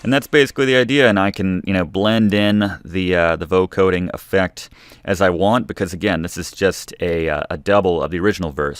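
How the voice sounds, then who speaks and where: A man with a very low, smooth voice talks through a how-to as the host of a tutorial. His delivery is informal and explanatory. The recording is dry, with hardly any room sound. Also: a bit bright, no echo. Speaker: music production tutorial host. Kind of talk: casual instructional screen-recording narration.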